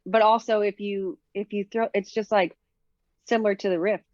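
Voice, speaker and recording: A woman's voice: medium pitch, little pitch movement, normal speed, darkish tone, dry recording, highs cut off. A woman from Minnesota with a Minnesota accent, chatting casually in an interview with many fillers and easy laughs. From Minnesota, USA. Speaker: professional disc golfer, guest.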